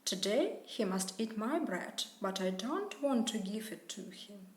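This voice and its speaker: A woman's voice: medium pitch, lively intonation, a little slow, close to an everyday voice. Woman doing a scheming miserly farmer. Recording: roomy echo.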